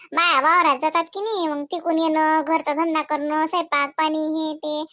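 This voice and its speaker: A woman's voice: sits high, little pitch movement, normal speed, fairly bright tone, dry recording, clean studio sound. A woman voicing an anxious, devout young village wife in a comedy cartoon, homely in manner, with a Varhadi Marathi accent. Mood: chatty. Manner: pleading, homely, chatty.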